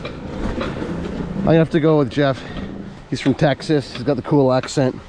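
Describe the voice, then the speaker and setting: A man's voice: medium pitch, steady tone, measured pace. A man with a Canadian accent, a concrete pump operator chatting casually and joking while he works. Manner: chatty, easygoing, jokey. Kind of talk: casual on-the-job vlog narration. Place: outdoor construction site. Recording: noisy outdoor site with machinery. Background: running pump and machinery.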